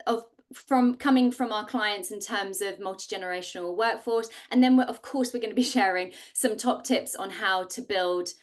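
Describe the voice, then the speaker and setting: A woman in her forties hosting and presenting a live online masterclass over a video call. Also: medium pitch. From Singapore. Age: 40s. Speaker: host and co-founder presenting a masterclass.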